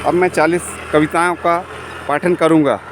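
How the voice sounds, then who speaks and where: A man in his forties, a poet, reciting his own verses in a measured, rhythmic way, pausing between lines, while riding a bicycle outdoors. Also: a little high, steady tone, noisy background. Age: 40s. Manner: measured, rhythmic reading with pauses. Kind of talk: poetry recitation while cycling.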